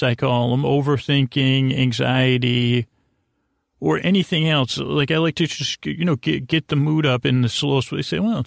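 A middle-aged man hosting a bedtime podcast, rambling in a halting, stammering way with self-deprecating, gently silly asides and tangents. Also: medium pitch, vocal fry, dry recording, clean studio sound. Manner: halting, stammering, repeats words and trails off into tangents. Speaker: podcast host.

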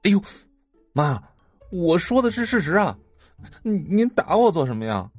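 An actor playing a carefree, whiny young rich son in an audio drama, cheeky and protesting. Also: male voice, high voice, big pitch swings, normal speed, husky, soft background music, exaggerated voice.

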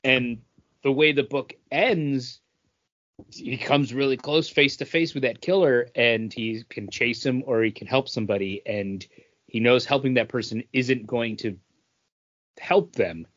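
A man talks through a review in a casual, conversational way as a podcast co-host. His delivery is halting, stopping to find words. His mid-pitched voice comes through a somewhat muffled, lo-fi recording. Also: fairly bright tone.

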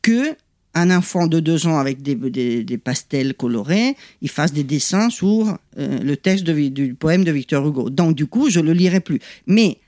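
A woman's voice: sits low, normal speed, dry recording. Italian-accented woman explaining in a dry room. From Italy. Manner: animated and explanatory, frequent "tu vois" asides and restarts. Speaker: guest, lithotherapist. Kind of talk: conversational interview explanation.

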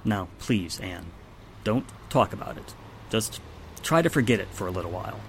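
A man playing a steady, reassuring police inspector in old-time radio drama style, measured and explanatory. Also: lowish voice, vocal fry, a little hiss or hum, poor audio. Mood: soothing.

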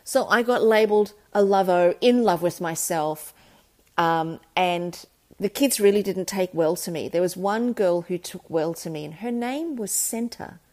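A woman's voice: lowish voice, unhurried, a little mellow. A woman from South Africa hosting a podcast, telling her life story in a candid, reflective and earnest way. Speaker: podcast host. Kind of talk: personal storytelling monologue.

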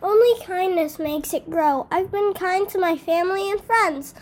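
A woman voicing a small, kind, anxious female dog in a storybook, speaking high and earnest. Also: moderate pace, darkish tone.

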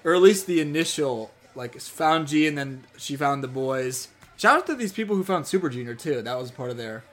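An upbeat man co-hosts a laid-back podcast, reading listener messages aloud and cheering them on. He laughs easily and sounds enthusiastic. His voice sits a little high for a man. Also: animated, brisk, few pauses, faint music behind. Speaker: podcast co-host.

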